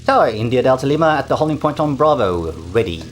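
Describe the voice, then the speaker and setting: A man's voice: mid-range voice, very animated, fast talker, close to an everyday voice. Man as pilot with swooping radio intonation. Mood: procedural. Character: male pilot calling the tower. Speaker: pilot in practice exercises. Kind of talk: scripted radio call readbacks.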